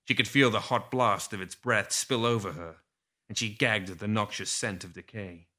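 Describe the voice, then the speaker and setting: A man's voice: on the low side, fairly even intonation, normal speed, sharp tone. A man reading scripted fiction aloud as a storyteller, with a theatrical, suspenseful delivery. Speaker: story reader. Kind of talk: scripted dramatic fiction narration.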